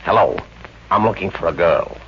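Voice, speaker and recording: A brash, flirty gumshoe. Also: male voice, deep voice, expressive, creaky, background noise. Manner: wisecracking, fast-talking pickup lines. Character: brash flirty male private detective. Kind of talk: 1950s radio comedy acting. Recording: dull old radio transcription.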